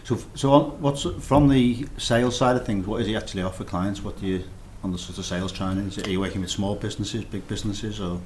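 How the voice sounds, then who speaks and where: A man's voice: fairly low pitch, fairly even intonation, fast, hardly any pauses, darkish tone, a little hiss or hum. A man hosting a casual podcast interview, asking informal, rambling questions. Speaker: podcast host.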